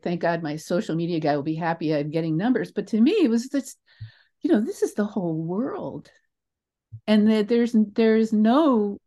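A woman's voice: fairly low pitch, a little mellow. A woman in her sixties talking in an interview as a theatre creator, telling anecdotes in a digressive, emphatic way. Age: 60s. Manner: rambling, digressive, emphatic storyteller. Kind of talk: conversational interview answers, anecdotal.

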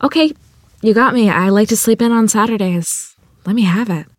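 A woman's voice: medium pitch, moderate pace, dry recording, studio quality, natural voice. A woman playing a modest, secretive rural wife in a dramatic script reading. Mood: surprised, sheepish. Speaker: actress at a screenplay table read.

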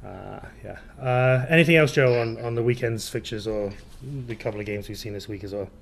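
A man co-hosting a casual football podcast, relaxed and chatty, laughing easily as he talks through the week's games. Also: fairly low pitch, fairly quick, vocal fry, a little hiss or hum. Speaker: podcast co-host.

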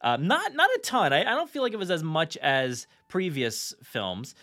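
A man's voice: fairly high pitch, very animated, moderate pace, sharp tone, no echo. A man hosting a podcast in a casual, enthusiastic, chatty and informal way. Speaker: podcast host.

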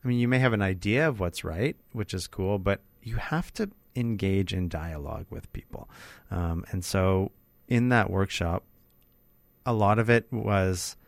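Middle-aged male, low register, dry close recording, thoughtful and sincere conversational delivery. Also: measured pace, creaky, no room sound. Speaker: workshop facilitator and speaker, interviewing. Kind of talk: casual conversational interview.

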